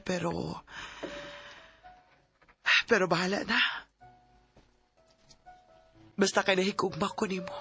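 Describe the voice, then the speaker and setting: Man voicing a tender young Bisaya woman, high-pitched. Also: creaky, sharp tone, full character voice. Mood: tender, confessing. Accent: Bisaya Filipino. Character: tender, devoted young woman confessing love. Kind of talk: sentimental radio drama acting. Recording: music under the voice.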